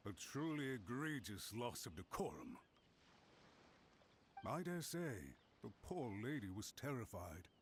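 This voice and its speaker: A man's voice: mid-range voice, sing-song, a little slow, a little hiss or hum. A man voicing a smug, scheming clan retainer in a video game, with a haughty, insinuating, theatrically indignant manner.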